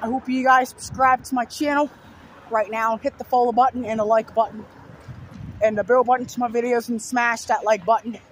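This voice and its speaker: A hoarse young man with a sore throat rambling through repeated simple lists in a casual vlog, filmed outdoors beside a road on a phone held at arm's length. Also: very high-pitched, steady tone, a little fast. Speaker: vlogger. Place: outdoors on a fenced overpass beside a busy road. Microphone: phone held low at arm's length. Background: faint passing traffic.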